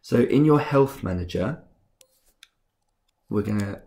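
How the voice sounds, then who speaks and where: A young man with a cold, sounding husky and rough and a bit bunged up, calmly talking through a game-making tutorial step by step with frequent ums. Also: on the low side, slow pace. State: congested from a cold. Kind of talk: screen-recorded software tutorial.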